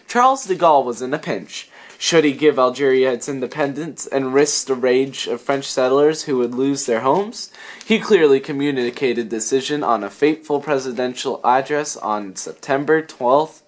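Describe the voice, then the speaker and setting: A young man reading a scripted history narration for a student project. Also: mid-range voice. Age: young adult.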